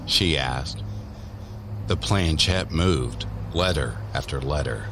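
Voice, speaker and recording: A man giving measured, deliberate narration for a scripted horror audio drama. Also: rumbling low, background noise. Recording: voice over background music.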